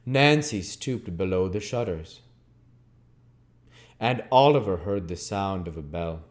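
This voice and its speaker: A man reading a story aloud as channel host, in expressive narration with deliberate, measured phrasing. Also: sits low. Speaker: audiobook reader and channel host.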